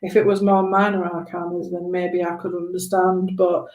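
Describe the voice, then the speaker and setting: A woman giving a spontaneous live tarot reading in a halting, reassuring, conversational way, with a slightly hoarse voice. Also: fairly low pitch, monotone, darkish tone, muffled recording, a little hiss or hum. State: hoarse after long talking. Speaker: tarot reader hosting a live stream. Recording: roomy, echoing room sound.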